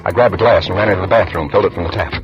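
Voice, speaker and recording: Man acting a wry, deep-voiced radio newspaperman. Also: very fast, dull audio, lightly acted. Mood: somber. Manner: wry, snappy, slangy. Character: brisk, hard-boiled newspaper reporter narrating his story. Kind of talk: 1940s radio drama acting, first-person narration. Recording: vintage radio recording with music behind.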